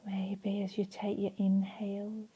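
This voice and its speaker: A woman leading a guided relaxation in a soft, breathy, soothing voice, leaving long pauses between phrases. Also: mid-range voice, monotone, muffled recording. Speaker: meditation and relaxation instructor.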